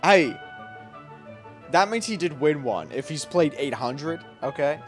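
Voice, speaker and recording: A man's voice: on the high side, expressive, measured pace, faint music behind. A young man giving casual let's-play commentary, joking and reacting in slangy language. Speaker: gaming streamer.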